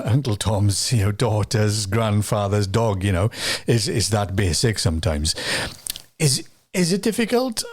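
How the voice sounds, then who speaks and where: A man hosts a relaxed interview in a fairly low voice, circling around his questions and restarting them as he goes. His pitch climbs when he finally puts a question. The sound is dry and close, with no room around it. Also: no room sound. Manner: rambling, restarts questions, rising animated pitch on questions. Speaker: interviewer, news site host. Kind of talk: conversational interview.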